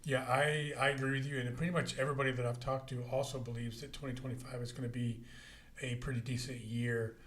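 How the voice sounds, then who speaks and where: A man hosting a real estate podcast, chatting in a relaxed, rambling way with frequent fillers. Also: mid-range voice, quick pace, a little hiss or hum. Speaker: podcast host. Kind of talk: casual podcast chat.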